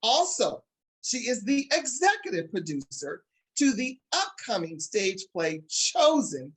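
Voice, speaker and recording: A man hosting a talk show in an upbeat, excited and emphatic way, addressing his audience directly. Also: sits high, a little slow.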